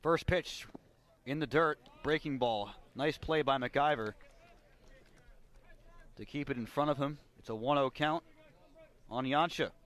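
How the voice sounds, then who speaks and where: A man's voice: medium pitch, measured pace, long pauses, a bit bright. An American man doing live radio play-by-play commentary as a baseball announcer. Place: ballpark radio broadcast.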